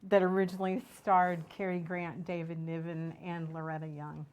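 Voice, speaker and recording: A woman's voice: lowish voice, flat delivery, moderate pace, darkish tone. A woman speaking as a theater director, explaining things in an interview.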